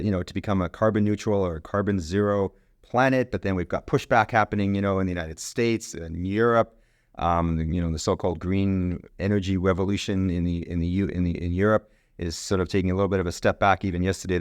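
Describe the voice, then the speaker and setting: A man's voice: deep voice, dry recording, clean studio sound. A middle-aged man hosting a podcast, chatty and rambling, often restarting his sentences. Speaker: podcast host. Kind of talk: casual interview conversation.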